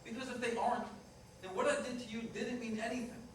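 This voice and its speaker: A man's voice: extremely high pitch, steady tone, quick pace. A man playing a frank, remorseful working-class ex-fiancé in a staged reading, speaking in a plain, matter-of-fact way. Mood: firm, protective. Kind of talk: staged reader's theater dialogue. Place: indoor performance room, distant microphone. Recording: echoey noisy room recorded from far off.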